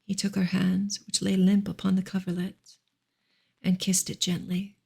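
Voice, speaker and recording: A woman reading a novel softly in ASMR style, gentle and unhurried, often slipping into a whisper. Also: mid-range voice, monotone. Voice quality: soft, breathy, often dropping into a whisper. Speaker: ASMR reader and streamer.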